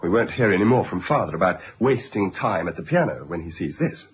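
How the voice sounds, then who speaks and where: An earnest schoolboy in an old radio drama, boyish, hesitant yet stubborn. His medium-pitched voice moves animatedly. The recording is dull, with faint noise. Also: animated, brisk. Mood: quietly excited. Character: earnest, stubborn teenage schoolboy brother, musical. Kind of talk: period radio drama acting.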